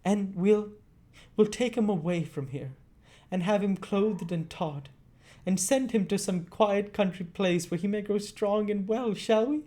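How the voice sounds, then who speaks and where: A man voicing an excitable, affectionate young orphan boy in a storybook reading, with gushing, emotional delivery. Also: high voice, unhurried, pitched above the performer's own voice. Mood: eager.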